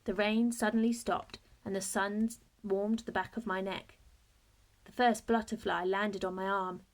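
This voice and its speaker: A woman reading a children's story aloud in expressive narration, like a teacher to a class. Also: mid-range voice, measured pace.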